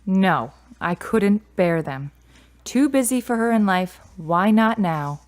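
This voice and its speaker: Radio drama daughter, played by a woman: bereaved, curt, self-reliant, mid-pitched. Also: lively intonation, slow pace. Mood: weary, subdued.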